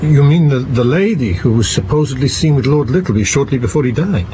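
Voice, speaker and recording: An actor playing an indignant, respectable doctor under suspicion in an audio drama, speaking formally. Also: male voice, medium pitch, expressive, fairly quick, warm tone, natural voice. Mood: puzzled. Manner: affronted, formal. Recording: muffled, with background noise.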